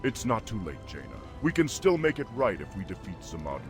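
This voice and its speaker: An actor playing a noble, resolute orc warchief in a video game, formal and commanding. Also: male voice, fairly low pitch, big pitch swings, fairly quick. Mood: earnest. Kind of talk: video game character acting. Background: loud music.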